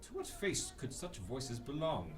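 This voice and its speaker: An actor playing a brooding, theatrical vigilante crime fighter in a radio drama, talking in clipped, melodramatic lines. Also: male voice, medium pitch, sing-song, measured pace, recorded from a distance, slight background noise. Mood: brooding, grandiose. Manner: clipped, melodramatic, pun-loving. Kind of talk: radio drama monologue and dialogue.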